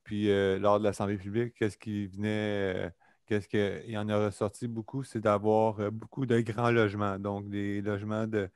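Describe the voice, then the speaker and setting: Québécois man, low steady voice, smooth and dry, earnest delivery with hesitant fillers, on a remote call. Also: moderate pace, dry recording. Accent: Québécois French. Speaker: public hearing participant. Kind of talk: formal public statement. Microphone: video or phone call.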